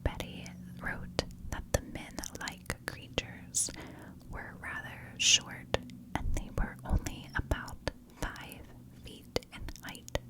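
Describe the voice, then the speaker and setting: A woman telling a story as whispered ASMR narration, hushed and in short phrases. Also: slow pace, rough recording. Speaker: ASMR true crime storyteller, channel host.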